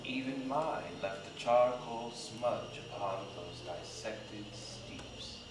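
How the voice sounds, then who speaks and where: A woman giving a measured, theatrical reading of a literary story as part of a live stage ensemble. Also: rumbling low. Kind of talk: dramatic literary story reading, scripted narration. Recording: echoey, distant live theatre recording with noise.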